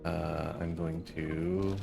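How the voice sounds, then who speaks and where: A man's voice: rumbling low, flat delivery, drawn-out words, creaky, over music. A man giving explanatory walkthrough commentary as the host of a gaming video. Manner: explanatory, reads game text aloud.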